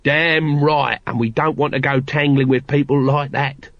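A man voicing a cowardly, seedy, low-class veteran watchman in an audiobook, with a muttering, slangy, uneducated grumble. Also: steady tone, moderate pace, gravelly, no echo, muffled recording, close to an everyday voice. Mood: grumbling, wary. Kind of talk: audiobook character voice.

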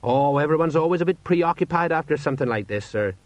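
A man's voice: on the high side, expressive, fast, no room sound, dull audio, voice pushed up, lightly acted. A man voicing an eager, deferential male sergeant in a storybook, chatty and colloquial, easily puffed with pride. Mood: helpful. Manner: colloquial, helpful, fawning. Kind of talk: audiobook character voice.

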